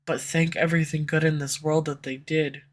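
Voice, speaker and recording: A woman's voice: deep voice, little pitch movement, moderate pace. A woman voice actor playing a proud, guarded female villain who swears and turns defensive when pushed, recorded on a USB condenser mic. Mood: somber, confessional. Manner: curses often, defensive and flustered when pressed. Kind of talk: audio roleplay acting. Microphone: USB condenser microphone.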